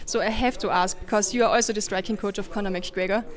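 A woman's voice: medium pitch, fairly even intonation, fast. A woman interviewing fighters and coaches at an event, asking brief friendly questions.

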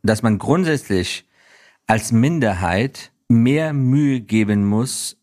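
A man from Afghanistan speaking as an interview guest, reflecting earnestly in conversational answers with halting pauses and self-corrections. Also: medium pitch, expressive, a little mellow. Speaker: interview guest, business professional.

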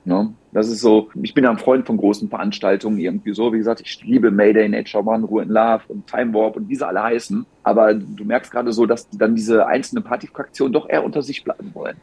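A gravelly, slightly husky man in his thirties from the Ruhr area chats animatedly about the events he organizes. He rambles and restarts his sentences, and the close mic sounds dry. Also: lowish voice, steady tone, quick pace, hardly any pauses, darkish tone, dry recording. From Ruhr area, Germany. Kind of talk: casual podcast conversation.

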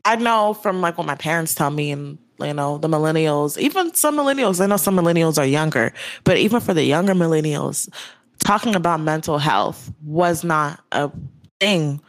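A woman's voice: deep voice, animated, normal speed, no room sound. A young woman hosting a podcast interview, talking in a casual, chatty way full of "like" and "you know". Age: young adult. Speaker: podcast host.

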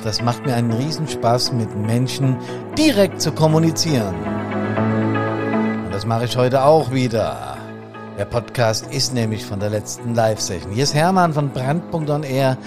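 A man's voice: medium pitch, animated, normal speed, loud music behind the voice. A man, hosting a podcast in a casual, friendly way with frequent fillers. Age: 60s. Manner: chatty, warm-hearted, with frequent fillers. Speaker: podcast host and fire service trainer. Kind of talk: casual podcast talk and live session.

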